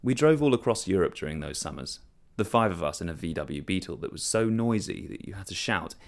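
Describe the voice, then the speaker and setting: A man's voice: sits low, lively intonation, fairly quick, creaky. A man reading a short story as a scripted first-person narration.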